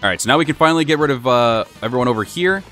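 A young man chatting through a casual let's-play, rambling with many fillers, his voice a little hoarse and wearing thin. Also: mid-range voice, animated, rapid-fire, pushed and bright, faint music behind. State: slightly hoarse, losing his voice. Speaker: gaming channel host.

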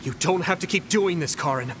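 An actor playing an earnest, impassioned young hero in an anime-style video game. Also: male voice, high voice, fairly quick, fairly bright tone, a little hiss or hum. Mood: urgent. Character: earnest young male hero rallying his team.

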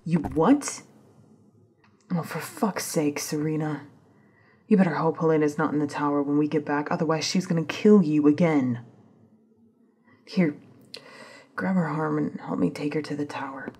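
A woman's voice: sits low, fairly even intonation, normal speed, pauses often, mellow, husky. A woman acting an intense, insistent female character in an ASMR-style supernatural audio drama. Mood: exasperated.

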